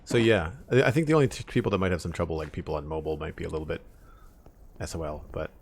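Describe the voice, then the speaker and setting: A young man with a deep voice chatting casually on a live stream, thinking aloud as he builds, into a desk mic at home. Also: racing, vocal fry, husky. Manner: rambling, thinking aloud, counts blocks under his breath. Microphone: desk microphone with headphones.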